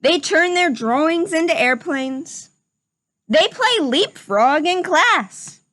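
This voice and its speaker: A woman's voice: very high-pitched, animated, slow pace, a bit bright, no room sound, pitched above the performer's own voice, full character voice. A woman voicing a stern, dismissive male school principal who scolds firmly in a children's storybook. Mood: scolding. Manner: firm, scolding. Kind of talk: children's storybook read-aloud.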